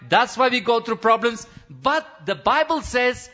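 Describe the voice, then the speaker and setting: A man preaching as a missionary pastor, his high, bright voice swelling into fervent shouts. His English is non-native, full of restarts and broken phrasing. The recording sounds dull and lo-fi. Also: sing-song, measured pace. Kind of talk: impassioned sermon testimony.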